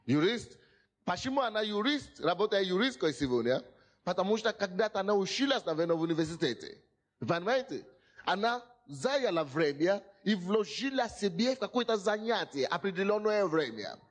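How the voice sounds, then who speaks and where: A man's voice: sits high, big pitch swings, moderate pace. A male pastor preaching a sermon to a congregation. His phrasing is slightly broken and non-native, and he repeats lines and often asks whether the listeners hear him. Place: in front of a congregation.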